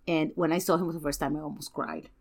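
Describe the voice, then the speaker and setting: Woman w a low voice, casual podcast guest, really earnest and emphatic, stops to find her words. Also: steady tone, a little mellow. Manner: earnest, emphatic, halting as she searches for words. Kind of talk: casual film discussion.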